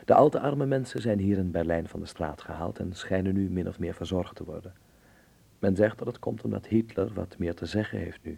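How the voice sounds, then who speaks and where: An actor playing a young, ironic Dutchman in a radio drama, speaking articulately with a gravelly, husky voice. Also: sits low, fairly quick, mellow, full character voice. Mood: reflective, wry. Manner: wry, articulate. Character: young, ironic, sensitive Dutchman living in 1930s Berlin. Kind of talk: radio drama acting and narration.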